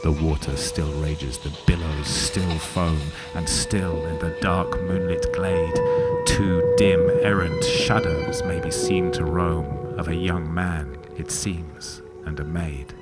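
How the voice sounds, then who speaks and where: Deep-voiced man reciting dramatic poetry. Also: very animated, slow pace, hardly any pauses. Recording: loud music under the voice. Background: music.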